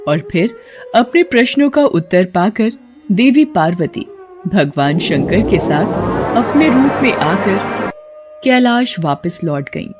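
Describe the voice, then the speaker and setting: Woman narrator for devotional bedtime tales, mid-pitched, reading from a script. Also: very animated, slow, over loud music. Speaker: storyteller.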